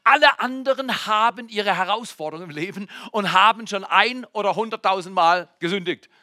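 Male pastor in his 40s, high, very bright voice, dry sound, swings between loud exclamations and near-whispers. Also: brisk, dry recording. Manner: addresses the congregation directly with jokes and questions. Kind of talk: sermon. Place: church.

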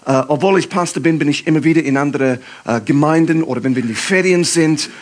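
A man from England, a guest pastor, preaching in German with an English accent, lively and halting with fillers. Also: a little high. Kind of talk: sermon.

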